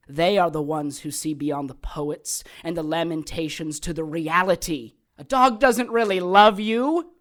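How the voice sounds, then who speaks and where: An actress playing a sadistic, cynical immortal villainess in an audio drama, taunting in a deliberate, theatrical way. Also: female voice, medium pitch, fairly bright tone. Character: gleeful immortal female villain who controls corpses, sadistic and cynical.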